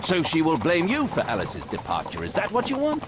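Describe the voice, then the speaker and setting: Victorian gentleman sidekick, British accent, hugely varied pitch, earnest, insistent, game drama, loud background noise. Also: huge pitch swings, fairly quick. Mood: insistent, admonishing. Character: earnest, plain-spoken Victorian gentleman, detective's loyal companion. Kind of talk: dramatic video game acting. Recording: loud street and horse ambience.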